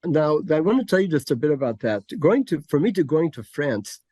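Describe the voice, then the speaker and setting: An older man from Connecticut, talking in a halting, filler-heavy way as an interview guest. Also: on the high side, sing-song, dark tone, no room sound. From Connecticut, USA. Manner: halting, many fillers and restarts. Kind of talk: casual interview conversation.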